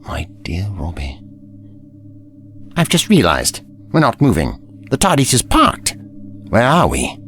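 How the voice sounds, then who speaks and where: Audio drama: eccentric, excitable male time traveller, played by a man, low-pitched, highly animated, theatrical. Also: takes it slowly, long pauses, soft background music, full character voice. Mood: bewildered.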